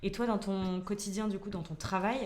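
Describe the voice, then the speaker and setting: A woman's voice: medium pitch, fairly even intonation, brisk. A woman hosting a podcast interview, asking questions and giving short prompts. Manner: brief prompts and backchannel. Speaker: podcast host.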